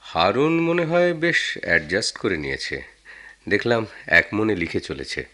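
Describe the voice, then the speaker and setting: An actor with a Bangladeshi accent playing a concerned married man in a radio drama, earnest and deliberate. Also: fairly low pitch, huge pitch swings, sharp tone, exaggerated voice. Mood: appreciative, concerned. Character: concerned married man, sentimental, respectful of war veterans. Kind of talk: Bengali radio drama acting.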